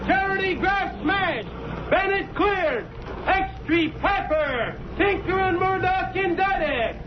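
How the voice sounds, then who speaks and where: A man's voice: very high-pitched, expressive, takes it slowly, noisy background, heavily acted. A man playing a young newsboy hawking papers in a singsong street cry in a radio drama. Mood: hawking, excited. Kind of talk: 1940s radio drama acting. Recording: muffled old radio recording with music.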